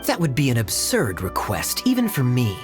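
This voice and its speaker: An actor playing a smooth-talking, teasing young cavalry captain in a scripted video game, with a sly, theatrical delivery. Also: male voice, medium pitch, wildly swooping pitch, moderate pace. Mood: wry, courteous. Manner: silver-tongued, playful, sly, theatrical. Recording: background music under dialogue.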